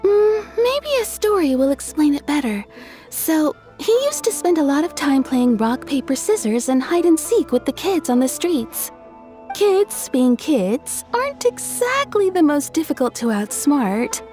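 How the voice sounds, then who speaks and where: A woman playing a cheerful, kindly townswoman in a video game, explaining things like an expressive storyteller. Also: sits high, unhurried, faint music behind. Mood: amused. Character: cheerful, kindly townswoman telling local anecdotes.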